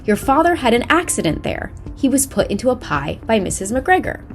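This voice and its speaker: A woman voicing an old mother rabbit who gently warns her children, in a careful, emphatic storybook delivery. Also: on the high side, very animated, brisk, soft background music, pitched above the performer's own voice. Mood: motherly warning.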